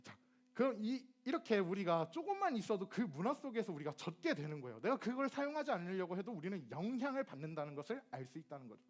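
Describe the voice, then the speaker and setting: A man's voice: high voice, fast talker. A young man from Gyeonggi preaching a sermon to teenagers, asking them questions and acting out dialect phrases. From Gyeonggi, South Korea. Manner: lively, asks the audience questions and acts out dialect phrases. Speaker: youth ministry preacher.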